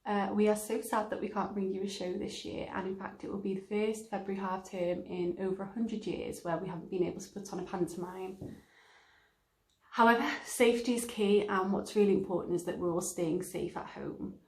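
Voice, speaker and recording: A young woman from Wales speaks as a company member introducing a show. Her voice sits at an average pitch for a woman. The room around her adds a clear echo. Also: steady tone, a little fast, a little mellow. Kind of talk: spoken introduction to camera. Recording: roomy indoor echo.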